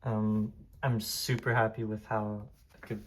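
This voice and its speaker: A man's voice: fairly low pitch, little pitch movement, a little slow, slight background noise, low-quality recording. A young man from Taiwan, a native Hokkien speaker, casually rambling as he tours his diecast collection room, heavy on fillers and restarts. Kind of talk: casual room tour vlog.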